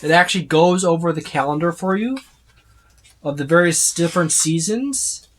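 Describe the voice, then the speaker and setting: A man's voice: a little high, moderate pace. A man giving a casual, unscripted walkthrough of a book, rambling and often listing items one by one. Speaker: tabletop gaming reviewer.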